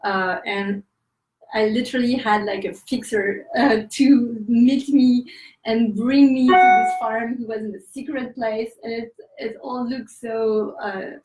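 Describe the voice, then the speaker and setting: A woman's voice: on the high side, fairly even intonation, a little slow, a little mellow, recorded from a distance, faint music behind. A woman with a French accent, answering interview questions as an author, hesitant with many fillers and laughing asides. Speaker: author guest. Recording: roomy echo, off-mic.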